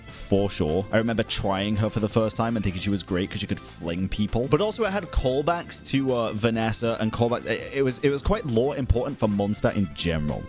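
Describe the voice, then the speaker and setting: A young man doing casual ranking commentary in a slangy, blunt, emphatic way. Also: mid-range voice. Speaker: gaming content creator and streamer.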